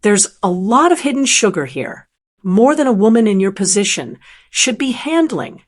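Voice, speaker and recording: A woman's voice: medium pitch, sing-song, normal speed. A woman voicing a discreet older male accountant who speaks in dry, coded understatement. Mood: sly.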